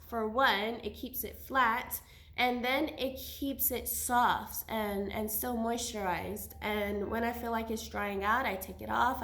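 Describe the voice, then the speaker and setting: A woman in her thirties, recovering from a cold, chatting casually and warmly as she shares her hair care routine. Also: a little high. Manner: chatty, friendly, sprinkles religious phrases. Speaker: hijab seller and vlogger. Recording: echoey room.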